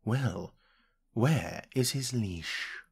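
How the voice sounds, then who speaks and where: Pompous, husky man playing a male High Elf. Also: animated, very slow, exaggerated voice. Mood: mocking. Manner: pompous, formal, sneering. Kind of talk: fantasy roleplay audio drama.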